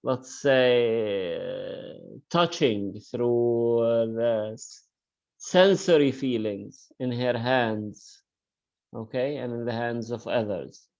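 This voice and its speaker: A man's voice: mid-range voice, slow pace, vocal fry, darkish tone, muffled recording. A man lecturing in an online class, hesitant and full of fillers as he encourages his students. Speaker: university lecturer.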